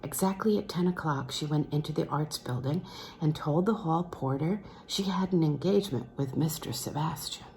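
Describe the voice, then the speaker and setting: A woman reading a literary novel aloud as an expressive audiobook narrator. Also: deep voice, normal speed. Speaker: audiobook reader.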